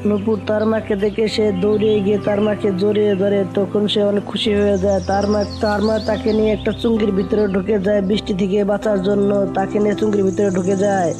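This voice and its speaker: A male narrator reads a children's story at a quick pace. His delivery is extremely flat, with music playing behind him. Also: high voice.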